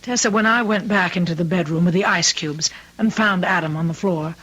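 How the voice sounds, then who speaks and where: A woman's voice: lowish voice, a little fast, a bit bright, husky, rough recording. An actress playing an anxious, doting middle-aged mother in an old-time radio drama, speaking in an earnest, fretful way. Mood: earnest, confessing.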